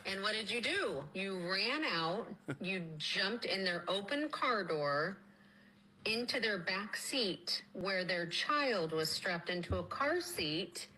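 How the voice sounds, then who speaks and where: A woman's voice: medium pitch, sing-song, takes it slowly, sharp tone. A woman playfully scolding a pet in a mock interrogation for a home video. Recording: slightly noisy pet video clip.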